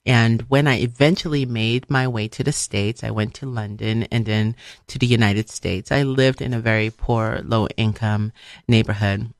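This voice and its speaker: A woman's voice: a bass voice, steady tone, moderate pace, no room sound, clean studio sound. A middle-aged woman from Liberia giving a motivational radio talk, stressing points emphatically with repeated phrases. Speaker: radio show host, speaker.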